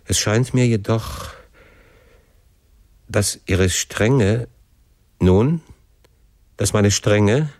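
A man's voice: on the low side, extremely slow, long pauses, darkish tone, no echo. Man acting impassioned young son, desperate, imploring, fervent, literary storybook drama, dry close sound. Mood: trembling, deferential. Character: passionate, desperate young son pleading with father.

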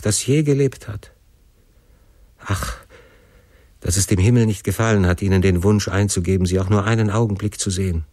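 A man's voice: low-pitched, darkish tone, dry recording. A man as a desperate, pleading young son. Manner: imploring, fervent, emotional.

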